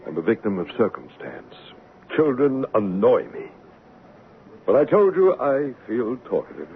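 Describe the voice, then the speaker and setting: An actor playing a dour, commanding and curt master of a great house in a 1970s radio drama. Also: male voice, medium pitch, big pitch swings, unhurried, a little hiss or hum. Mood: brooding, sardonic. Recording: tinny band-limited old radio recording.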